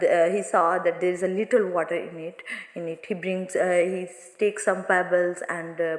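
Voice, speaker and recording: A woman teacher explaining a moral story to students in halting, non-native English phrasing. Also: medium pitch. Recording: echoey room with long reverb.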